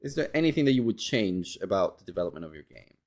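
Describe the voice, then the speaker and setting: A man hosting a podcast, interviewing in a casual chat with halting phrasing and repeated words. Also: low voice, big pitch swings, a little mellow. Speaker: podcast host interviewing indie game developers.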